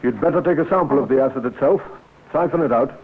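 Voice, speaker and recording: An actor playing a courteous but firm police inspector, clipped and authoritative, in a 1950s radio drama. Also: male voice, mid-range voice, fast, breathy edge. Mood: intent. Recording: tinny, noisy 1950s radio recording.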